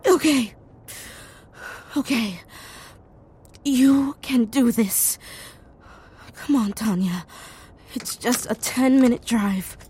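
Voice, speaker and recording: A woman's voice: a little high, mellow, a little hiss or hum. An actress playing an anxious, grieving, easily panicked woman in an audio drama, with a hesitant way of talking. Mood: shaky self-soothing. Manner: hesitant, halting.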